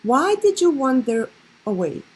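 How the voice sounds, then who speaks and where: A woman voicing a fond, earnest little girl in a storybook, affectionate and gently scolding. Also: high voice, sing-song, measured pace, breathy edge, pitched above the performer's own voice, full character voice.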